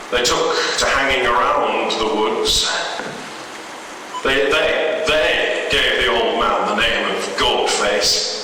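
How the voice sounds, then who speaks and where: A man reading a horror story in the first person, in a measured, halting delivery with pauses. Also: medium pitch, bright, forceful tone. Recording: very echoey, distant, noisy hall recording.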